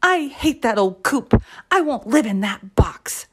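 Woman doing a high, chirpy little chick. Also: sing-song, unhurried, fairly bright tone, breathy edge, heavily acted. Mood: eager. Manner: chirping, squealing, pleading. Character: eager naughty little chicks, childlike and chirpy.

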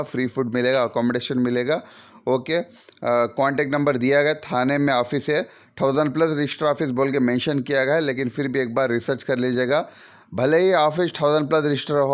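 A man hosting a job-vacancy channel, talking casually over adverts, chatty and repetitive, with frequent fillers and advice to the viewer. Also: medium pitch. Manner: chatty, repetitive, advisory, with many fillers like "okay".

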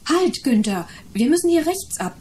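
A woman's voice: sits high, big pitch swings, normal speed, a little mellow, slight background noise. An actress playing an anxious, practical mother in a 1980s German radio play.

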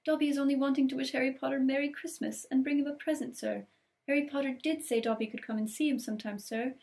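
Brief: fretful, eager male elf, squeaky and broken grammar, voiced by a woman reader. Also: steady tone, fast, gravelly, a little mellow. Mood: anxious. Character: anxious eager male house elf, small.

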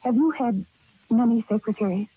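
Anxious, determined young woman, husky, tinny radio. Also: a little high, dry recording. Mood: accusing, desperate. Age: young adult. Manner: earnest, halting, insistent repetition. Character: anxious, determined young woman seeking her missing sister. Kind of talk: 1930s-40s radio melodrama acting. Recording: tinny, narrow old radio recording.

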